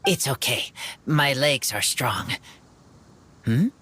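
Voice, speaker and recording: A woman playing an earnest wild boy in a video game, talking in halting, broken, simple sentences. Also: rumbling low, lively intonation, fairly bright tone, breathy edge, exaggerated voice. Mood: wary, earnest.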